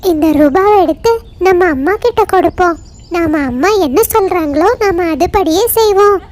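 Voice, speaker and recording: A woman's voice: very high voice, moderate pace, darkish tone. A woman voicing a sensible, obedient young girl bird in a children's cartoon story.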